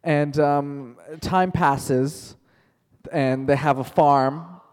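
A man's voice: a little high, steady tone, very slow, a little mellow, big-room sound. A male teacher telling a playful made-up story to his class, chatty and joking, calling on students by name.

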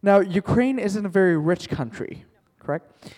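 A male teacher spins a playful, made-up story for his class, joking along the way and calling on students by name. He speaks slowly and a bit high for a man. His voice rings in a classroom with a long echo. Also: animated, darkish tone.

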